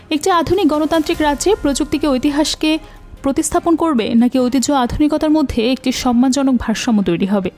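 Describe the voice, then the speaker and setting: A high-voiced woman narrates a scripted news analysis, steady and explanatory, often turning to rhetorical questions. A thin bed of music plays quietly under her voice. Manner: measured, explanatory, poses questions to viewers. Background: faint background music.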